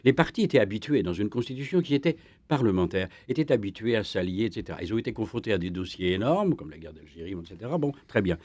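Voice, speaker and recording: A man's voice: animated, fast talker, hardly any pauses, a little mellow, no echo. Emphatic male historian on radio. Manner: emphatic, rising pitch when pressing a point, many restarts. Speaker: historian guest. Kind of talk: radio debate. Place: radio studio.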